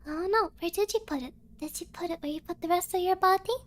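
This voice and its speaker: A young woman chatting casually as a streamer in a cutesy manner, with soft interjections and some Japanese words mixed in. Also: extremely high pitch, quick pace. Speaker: VTuber co-host. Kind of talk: casual livestream chat.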